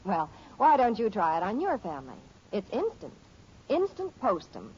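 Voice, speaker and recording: An American woman playing a cheerful, gently teasing housewife and mother in 1950s radio comedy. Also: mid-range voice, huge pitch swings, moderate pace. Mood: cheerful, persuasive. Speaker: actress in a radio sitcom. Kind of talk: 1950s radio sitcom acting. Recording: dull old radio recording, faint noise.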